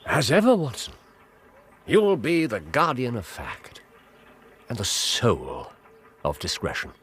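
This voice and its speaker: A brilliant English detective in a radio play. His voice is husky and mid-pitched, with wide, dramatic swings. He speaks precisely and deliberately, courteous but always in command. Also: huge pitch swings, a bit bright, full character voice. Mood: reflective, satisfied. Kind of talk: British radio drama acting.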